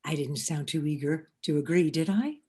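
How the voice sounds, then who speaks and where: A woman's voice: sits low, mellow, slightly rough. An actress playing an anxious, witty woman who overthinks every word with dry asides, in a stage comedy. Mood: wry. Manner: wry, overthinking, quick asides. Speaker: actor in a one-act play. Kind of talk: stage comedy inner monologue. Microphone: video call.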